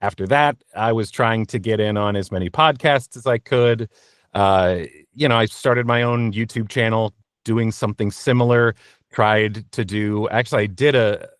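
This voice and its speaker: A man's voice: on the low side, steady tone, measured pace, smooth, dry recording. Conversational man in a dry, close recording. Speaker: interview guest, voice actor. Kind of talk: casual interview answers, personal storytelling.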